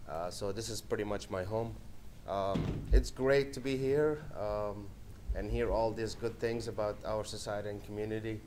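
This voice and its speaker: A man's voice: lowish voice, fairly even intonation, moderate pace, background noise. A man from Egypt with an Egyptian accent, hesitantly giving a public comment at a city meeting. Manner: hesitant, many fillers. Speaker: member of the public.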